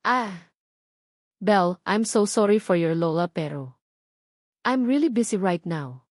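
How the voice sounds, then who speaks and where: A woman's voice: mid-range voice, lively intonation, a little slow, no room sound, close to an everyday voice. A narrator woman plays a young socialite making excuses in an audio story. She is hesitant and politely apologetic, evasive, with a dry close sound.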